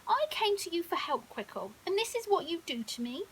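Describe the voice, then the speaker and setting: An excitable male lion from a bedtime story, acted out by a woman. He speaks high and emphatically, with big swings of pitch, earnest and quick to take offence. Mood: grumpy, cross. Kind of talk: children's bedtime story reading. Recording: audible hiss.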